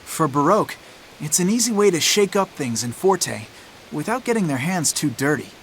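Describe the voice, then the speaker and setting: A man's voice: on the high side, very animated, normal speed, a little hiss or hum. A man voicing a sceptical, level-headed teenage boy in a video game, casual and reasoning. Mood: calm, sceptical.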